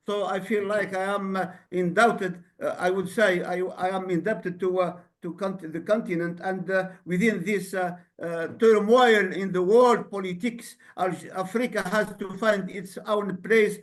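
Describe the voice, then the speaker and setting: A man with a high-pitched voice speaks haltingly on an online panel. He stops and restarts often and leans on fillers. He sounds earnest as he gives his view. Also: steady tone. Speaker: professor and panelist. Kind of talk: panel remarks.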